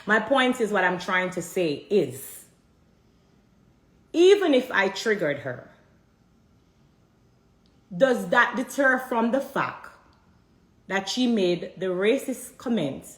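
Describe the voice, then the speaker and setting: A woman's voice: medium pitch, very animated, takes it slowly, long pauses. Jamaican woman ranting to her followers, keeps throwing questions back at them, echoey room. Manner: emphatic, repeats rhetorical questions to the audience. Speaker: vlogger addressing viewers. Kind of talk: direct-to-camera commentary.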